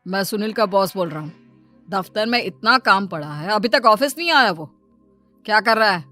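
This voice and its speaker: A woman's voice: mid-range voice, expressive, normal speed, pushed and bright, soft background music. A woman voicing a stern male office boss in a story, curt, demanding and angry.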